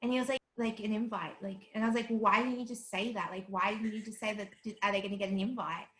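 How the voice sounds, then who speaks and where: A woman's voice: medium pitch, steady tone, fast talker, fairly bright tone, roomy sound. A woman in her twenties, raised in South Africa, with a light Australian accent, telling stories animatedly as an interview guest and laughing often. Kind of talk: casual interview chat.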